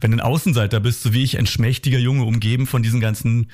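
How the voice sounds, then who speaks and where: A hoarse middle-aged man from Berlin, a guest author chatting in a radio studio with self-mocking jokes. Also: medium pitch, fairly even intonation, fast, darkish tone. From West Berlin, Germany. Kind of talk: casual interview chat. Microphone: studio microphone.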